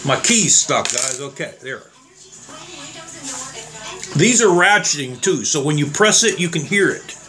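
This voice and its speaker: A slightly hoarse man talking casually and rambling as he tests trigger locks on guns for his viewers. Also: a little high, expressive, unhurried, pauses often, pushed and bright, faint music behind. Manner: rambling, plain-spoken, addresses viewers as guys. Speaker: gun reviewer. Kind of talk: casual demo vlog.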